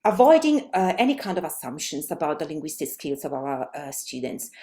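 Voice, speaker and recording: A middle-aged woman with an Italian accent, a native Italian speaker, giving a webinar presentation in a halting way with many fillers and restarts. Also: low voice, very animated, dark tone. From Italy. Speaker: language education presenter.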